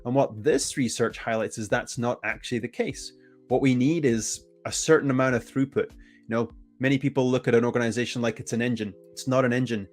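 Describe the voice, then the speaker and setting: A man's voice: mid-range voice, brisk, faint music behind. A man whose first language is English, giving a conference presentation with energy and often restarting his phrases. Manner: energetic, self-correcting, frequent restarts. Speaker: conference speaker.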